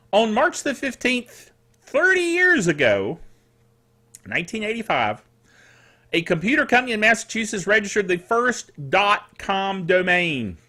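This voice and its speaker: A middle-aged man hosting a solo tech news show in a chatty, playful and quirky way, with theatrical asides and a slightly dry, rough throat. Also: high-pitched, pushed and bright.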